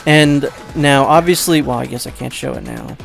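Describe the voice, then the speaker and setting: A man's voice: mid-range voice, moderate pace, vocal fry. Rambling man doing casual game commentary over music. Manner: rambling, second-guesses himself aloud; casual swearing. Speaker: let's play host.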